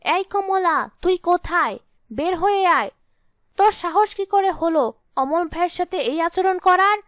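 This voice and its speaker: Woman playing a high, scolding village woman. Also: expressive, a little slow, a bit bright, dry recording, studio quality, full character voice. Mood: angry, challenging. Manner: commanding, confrontational. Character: bold, scolding village sister-in-law, a woman. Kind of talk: animated fairy-tale voice acting.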